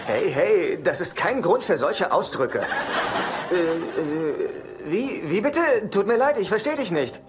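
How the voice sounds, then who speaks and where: A man's voice: high-pitched, very animated, slow pace, heavy background noise, heavily acted. An actor playing a fussy, anxious middle-aged divorced man in a German-dubbed sitcom, easily flustered.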